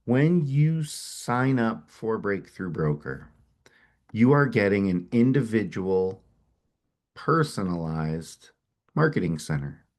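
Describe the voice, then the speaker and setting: A middle-aged man with a head cold and a low voice presents at a webinar. He talks with energy and often doubles back on his words. Now and then he sniffles. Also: slow pace. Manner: energetic, repeats words as he restarts. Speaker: company founder presenting a webinar.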